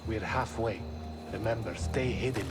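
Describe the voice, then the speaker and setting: An actor playing a cautious rebel guide in a video game, giving urgent, hushed instructions. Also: male voice, fairly low pitch, moderate pace, natural voice. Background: game music score.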